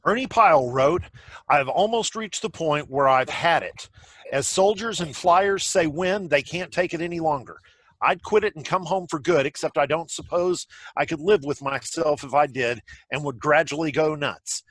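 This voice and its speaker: A man's voice: on the high side, normal speed, fairly bright tone, no room sound. A man playing a solemn 1940s radio announcer reading wartime reports in a play table read over a video call.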